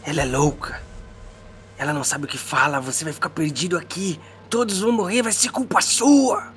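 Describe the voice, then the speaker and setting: A man's voice: on the high side, brisk, fairly bright tone, slight background noise, lightly acted. A man voicing a cursed talking sword, an urgent, coaxing tempter who gives orders in a conspiratorial way, in a role-playing audio drama. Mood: menacing. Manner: conspiratorial, commanding, insistent.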